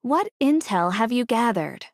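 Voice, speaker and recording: Cold, scornful schemer. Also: female voice, on the high side, very animated, a little slow, dry recording, clean studio sound. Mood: cold, commanding. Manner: condescending, cutting, controlled. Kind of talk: scripted anime game dialogue.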